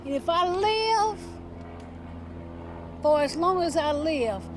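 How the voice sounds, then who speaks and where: A woman recounts family memories in a news interview. Her voice is high and her delivery is very slow, with faint noise in the background. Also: animated. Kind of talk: storytelling interview recollection.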